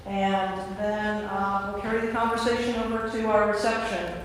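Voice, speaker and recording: A woman's voice: medium pitch, little pitch movement, normal speed. A woman priest speaking to a gathering as host and narrator in a church. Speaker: priest and narrator of a staged reading. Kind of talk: informal welcome address, then scripted narration. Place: large indoor church space. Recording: echoey church hall from far off, faint noise.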